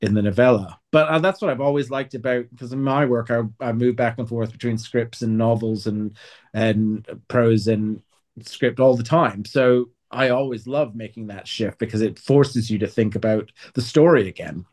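Man, medium pitch, writer as podcast guest, reflective, explanatory, conversational. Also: moderate pace, darkish tone. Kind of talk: conversational interview answer.

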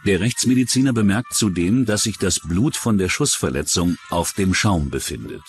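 A man's voice: low-pitched, animated, moderate pace. A man reading scripted true-crime narration as a documentary narrator. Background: music.

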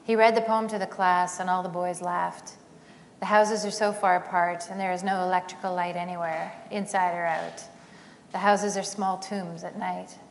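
A middle-aged woman reads a passage aloud in a measured, quiet way. Her voice sits on the low side. It carries a long reverb from a large hall, with a faint hiss underneath. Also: flat delivery. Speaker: author reading. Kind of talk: reading aloud from a novel. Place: theater stage, seated in armchair.

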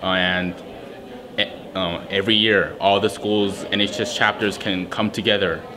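A teenage student organizer in a casual interview, talking haltingly with frequent ums and restarts. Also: male voice, sits low, little pitch movement, a crowd in the background. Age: teens. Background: music.

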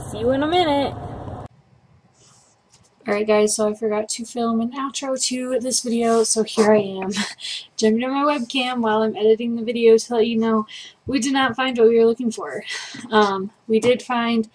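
A young woman vlogger talking casually to her viewers into a phone camera held at arm's length, with plenty of ums and quick asides. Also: a little high, a little hiss or hum, low-quality recording. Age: young adult. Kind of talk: casual vlog chat. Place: car and bookstore, later a home room at a webcam. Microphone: phone camera at arm's length, later a webcam.

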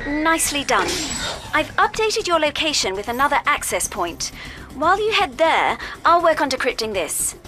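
A woman's voice: high-pitched, very animated, normal speed. A woman playing a perky, eager young female tech handler in a video game, chipper and polite with an awkward edge. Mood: brisk, helpful. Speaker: mission support.

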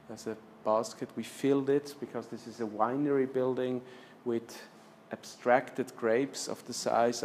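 A man in his fifties presenting slides in a lecture room, speaking with many restarts and fillers, trailing off softly at the ends of phrases. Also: medium pitch, slight background noise. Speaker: architecture lecturer. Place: lecture room with projector screen.

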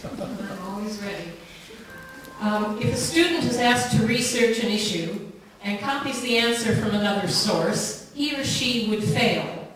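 A woman's voice: medium pitch, a little hiss or hum. Echoey woman asking pointed questions. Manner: pointed, challenging, deliberate. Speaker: member of public asking questions. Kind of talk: prepared formal questions. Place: large wood-panelled council meeting room. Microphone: desk gooseneck mic. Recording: very echoey room, distant mic.

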